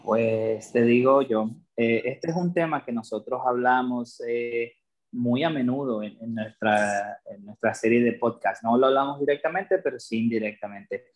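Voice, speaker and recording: Man from Ecuador, medium pitch, hesitant, frequent restarts, podcast conversation. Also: moderate pace. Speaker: podcast co-host. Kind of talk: casual conversation.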